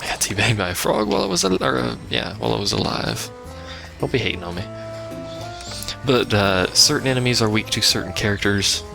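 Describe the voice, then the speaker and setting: A man's voice: fairly low pitch, lively intonation, a little fast, creaky. A man giving casual, rambling live game commentary as a let's play host, with wry asides. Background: video game music.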